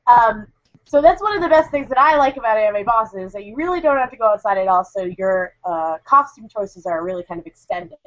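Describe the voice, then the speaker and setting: A woman's voice: fairly high pitch, animated, fairly quick, no room sound. A woman reviewing a convention in a chatty, rambling way while getting over a cold. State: recovering from a cold. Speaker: convention correspondent. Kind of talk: casual podcast review. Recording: dull lo-fi sound.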